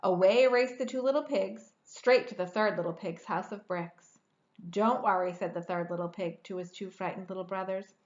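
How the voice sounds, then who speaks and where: A woman's voice: mid-range voice, fairly even intonation, moderate pace, few pauses, darkish tone, dull audio. A woman in her forties reading a children's storybook aloud expressively, stretching words for dramatic emphasis. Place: indoor room at home.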